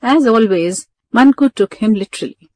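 A woman's voice: on the high side, lively intonation, normal speed, mellow, dry recording. A woman narrating a scripted children's story.

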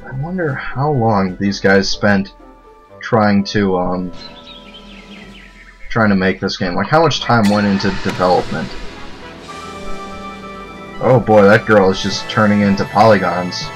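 Young male let's player, deep low voice, loose jokey rambling, faint music underneath. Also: unhurried, long pauses. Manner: joking, rambling, laughs often. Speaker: let's play commentator. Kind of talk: casual gameplay commentary.

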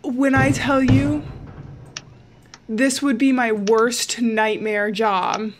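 A young woman with a high voice talks through a horror game, chatty and jittery and poking fun at her own fear. She speaks into a studio mic in a small room at home, with clear noise behind her. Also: flat delivery, drawn-out words. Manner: jittery, chatty, self-mocking. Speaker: gaming YouTuber. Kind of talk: horror game let's play commentary. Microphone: studio mic with pop filter, headphones.

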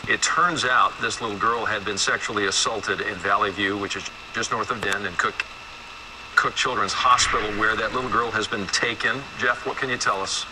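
A man anchoring a local TV newscast, handing off to a reporter in the field. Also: lowish voice. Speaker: news anchor. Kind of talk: broadcast news delivery. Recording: TV broadcast replayed, noisy.

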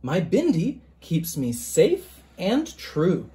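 A storybook reader voicing a loving, reassuring mother who explains things gently and playfully to a child. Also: medium pitch, huge pitch swings, slow.

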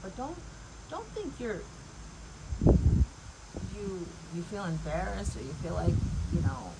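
A middle-aged woman giving a casual devotional talk and reading scripture in an earnest, encouraging, conversational way, often checking in with the listener. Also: a little high. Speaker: devotional host and coach. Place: outdoors in a leafy backyard garden. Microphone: clip-on lapel mic. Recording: clear outdoor insect noise throughout. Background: crickets and insects.

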